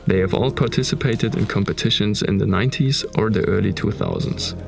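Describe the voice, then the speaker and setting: A man with a German accent narrating a documentary about an abandoned manor in a calm, measured tone. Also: on the low side, gravelly, music in the background. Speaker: narrator of urban exploration videos.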